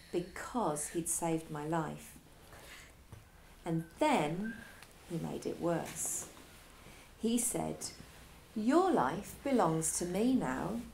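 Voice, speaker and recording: A female teacher reading a children's story aloud, with expressive delivery and dramatic pauses. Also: lowish voice, gravelly, a little hiss or hum, rough recording.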